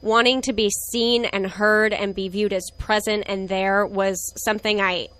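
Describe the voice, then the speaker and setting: A woman's voice: mid-range voice, little pitch movement, normal speed. A woman in her twenties talking candidly and reflectively about her relationship in a casual conversation. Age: 20s. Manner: reflective, candid, soft laughs. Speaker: guest.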